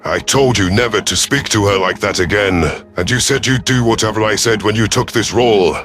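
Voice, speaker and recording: Man as a deep, growling alien captain. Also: a little fast, a bit bright, soft background music, natural voice. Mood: furious. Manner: growling, threatening. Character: menacing, commanding male reptilian alien captain. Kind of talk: sci-fi audiobook character voice.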